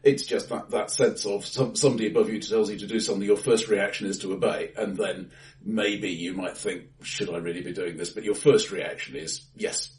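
A man co-hosting a casual film discussion, often repeating words as he forms his point, recorded in a bright, echoey room. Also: fairly low pitch, steady tone, fairly quick, far from the mic. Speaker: podcast co-host. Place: acoustically bright room, face to face.

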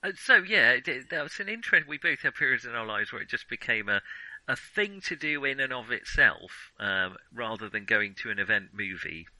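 A man's voice: mid-range voice, expressive, brisk, bright, forceful tone, dry recording, rough recording. A middle-aged British man chatting casually as a podcast co-host, reflective and ready with his opinions. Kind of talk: casual chat about films.

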